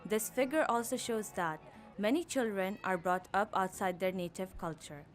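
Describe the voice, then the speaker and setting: A young woman reading a student news report as narrator, in an even, measured way. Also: medium pitch, faint music behind. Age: young adult.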